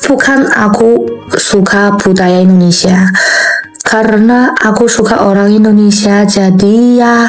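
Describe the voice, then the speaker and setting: A woman's voice: medium pitch, darkish tone, low-quality recording. Casting: woman vlogger, second-language speech slang, short choppy lines, average register, light music. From China. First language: Chinese. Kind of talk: casual vlog answering questions.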